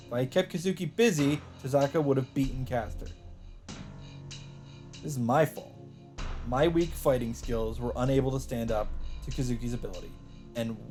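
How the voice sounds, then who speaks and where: 남자 게임 실황 진행자의 낭독, 잔잔한 배경 음악. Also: male voice, medium pitch, dark tone. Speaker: let's play host. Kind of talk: reading visual novel narration aloud, with reactions. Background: game music.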